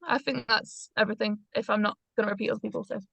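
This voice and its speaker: A woman's voice: fairly high pitch, fairly even intonation, vocal fry, sharp tone, breathy edge, no echo. A woman giving informal, hesitant feedback as one of a panel. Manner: hesitant, halting. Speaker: script reading panellist.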